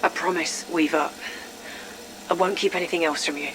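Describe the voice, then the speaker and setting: Actress as a weary sardonic female scientist, lowish voice, audio drama, faint noise behind. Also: fairly even intonation, moderate pace, natural voice. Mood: contrite.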